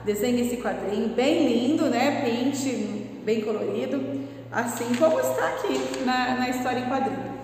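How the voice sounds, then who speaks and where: A woman's voice: fairly high pitch, expressive, a little slow, darkish tone, slight background noise. A woman in her thirties with a Brazilian Portuguese accent, a primary school teacher correcting workbook exercises, patiently explaining and asking the class questions. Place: classroom in front of a whiteboard, indoors. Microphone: far camera. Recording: roomy classroom echo, from a distance.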